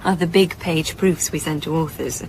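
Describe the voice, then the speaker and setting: An actress playing a publishing editor in a radio drama, with a blunt, informal, slightly rambling way of talking. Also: female voice, sits low, steady tone, normal speed, a little mellow, a little hiss or hum, close to an everyday voice, poor audio. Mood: candid, intrigued. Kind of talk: naturalistic radio drama acting.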